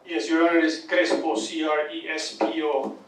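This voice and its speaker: A man from Argentina with an Argentine Spanish accent, a native Spanish speaker, addressing a court as a crime victim in a halting, upset way, often repeating words. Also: fairly high pitch, little pitch movement, background noise. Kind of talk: impassioned victim statement. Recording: echoey courtroom audio from a distant mic.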